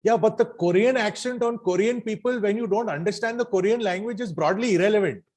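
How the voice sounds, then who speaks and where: A man's voice: high voice, a little fast, a little mellow. A man with an Indian English accent co-hosts a casual review podcast, chatting in an emphatic way. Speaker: podcast co-host.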